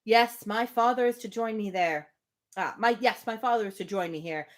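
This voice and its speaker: A woman reading the part of a dejected, effusive young gentleman from a novel, in earnest, formal, lamenting speech. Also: medium pitch, normal speed, lightly acted. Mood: dejected, regretful.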